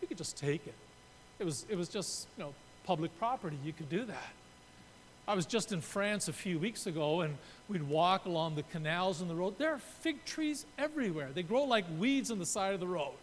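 A man's voice: lively intonation, fairly quick. Older man preaching in a reverberant church. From Canada. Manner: explanatory, gesturing at a pulpit. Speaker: pastor. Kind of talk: sermon. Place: church sanctuary. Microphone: pulpit mic. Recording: reverberant church room, faint rumble.

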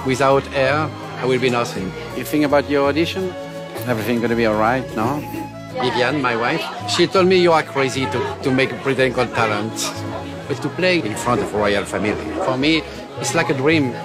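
A man's voice: medium pitch, fairly quick. A man in his sixties from France, speaking English with a French accent as a ventriloquist contestant. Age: 60s. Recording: loud music and cheering audience behind.

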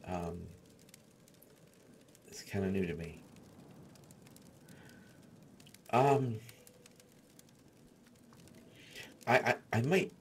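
Man playing a shy, stammering, low-voiced fantasy artificer. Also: husky, full character voice. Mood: shy, flustered. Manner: stammering, hesitant, soft-spoken reassurance. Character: shy, gentle, awkward human male artificer. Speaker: audio roleplay voice actor. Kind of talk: intimate dark fantasy audio roleplay.

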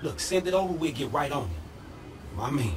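A man's voice: medium pitch, big pitch swings, normal speed, noisy background, lightly acted. A man acting a brash, streetwise middle-aged American fixer in a video game, with slangy, profane swagger. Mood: businesslike.

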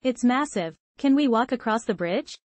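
A woman's voice: on the high side, lively intonation, moderate pace, a little hiss or hum. A woman reading the questioning part of a scripted language-lesson dialogue.

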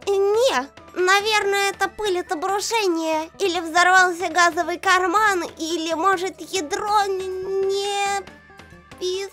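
A very high, bright, childlike voice for an anxious cartoon lizard scientist. She stammers and frets her way through explanations. Music plays behind her. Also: steady tone, unhurried, exaggerated voice. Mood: nervous. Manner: nervous, stammering. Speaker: royal scientist character in a comic dub. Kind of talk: fan comic dub acting.

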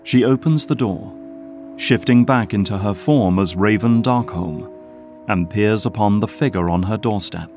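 A man's voice: on the low side, a little slow, a little mellow, faint music behind. A man narrating a scripted audio drama.

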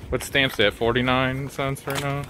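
A man's voice: mid-range voice, level tone, moderate pace. A man with an Ohio accent chatting casually and chuckling along while helping sort through a storage unit, off camera outdoors with noise. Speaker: companion helping sort items. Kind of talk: casual banter.

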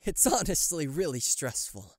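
A man's voice: on the high side, very animated, moderate pace, no room sound, studio quality. A man voicing a possessive, smug TV-headed demon CEO in a theatrical, condescending yandere roleplay spoken to the listener. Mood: wheedling, confiding. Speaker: voice actor.

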